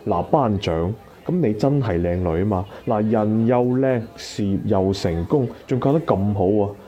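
Cantonese radio drama: teasing, easygoing chubby everyman played by a man, very mellow low-ish voice, muffled recording. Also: unhurried, natural voice. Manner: joking, colloquial banter. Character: chubby male barbecue-stall owner, teasing, easygoing old classmate. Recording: dull lo-fi audio.

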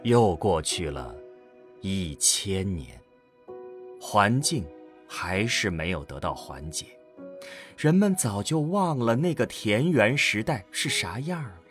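Deep, expressive man narrating, unhurried, over music. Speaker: narrator of a sci-fi audio drama. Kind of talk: dramatic scripted narration, storytelling. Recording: background music under the voice.

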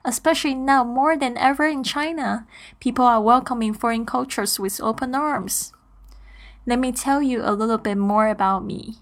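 A woman in her thirties, originally from Taiwan, introduces her own podcast in a fairly high voice. She reads a prepared script at an even pace, earnest and upbeat, in the manner of a scripted show opener. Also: normal speed. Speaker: podcast host.

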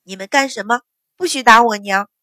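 A woman voicing a fierce eight-year-old boy who shouts defiantly, in a dry recording. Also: on the high side, a little fast, dry recording. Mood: angry.

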